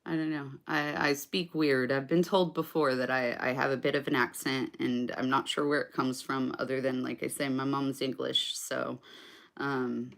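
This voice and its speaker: A woman's voice: extremely low pitch, flat delivery, normal speed, vocal fry, a bit bright. A woman chatting casually with viewers on a live stream between songs, rambling and hesitant with lots of fillers. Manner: chatty, self-deprecating. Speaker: singer-songwriter live streamer.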